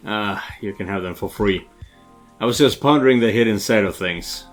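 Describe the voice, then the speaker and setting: A man's voice: on the low side, moderate pace, soft background music, natural voice. A man voicing a friendly, polite, pensive coffee shop barista in a visual novel game.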